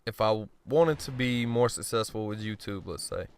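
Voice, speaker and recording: A man giving casual gameplay commentary, blunt and profane, rambling and joking at himself, often clearing his throat. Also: lowish voice, normal speed. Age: adult. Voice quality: smooth voice. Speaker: gaming commentator.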